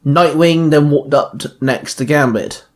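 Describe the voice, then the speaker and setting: A man's voice: a little high, unhurried, darkish tone. A man reading scripted fan fiction narration as the narrator of a story podcast.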